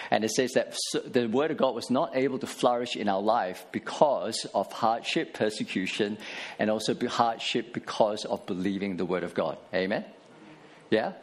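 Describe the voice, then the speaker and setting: A man's voice: on the low side, husky. A man in his forties, a pastor giving a casual sermon in church with many fillers and restarts. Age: 40s.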